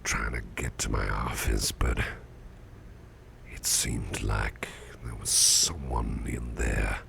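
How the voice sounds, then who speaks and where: Man acting a sleepy tipsy boss, extremely low voice, heavy vocal fry, breathy murmur, halting, gentle, ASMR roleplay, noisy background. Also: fairly even intonation, exaggerated voice. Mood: uneasy, musing. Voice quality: breathy, half-whispered gravelly rumble. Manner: halting, rambling, sleepy delivery. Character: drowsy, tipsy, gentle businessman boss, confiding and affectionate.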